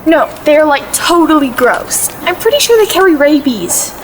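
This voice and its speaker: A woman's voice: high voice, sing-song, normal speed, a little hiss or hum. A woman acting a scornful, gossipy teenage girl in an audio play, with slangy, squeamish delivery. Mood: disgusted.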